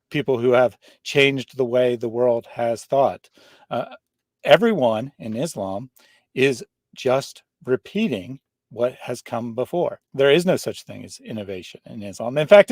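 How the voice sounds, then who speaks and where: A man's voice: medium pitch, darkish tone, dry recording. A man speaking as a guest in a casual discussion, blunt and emphatic.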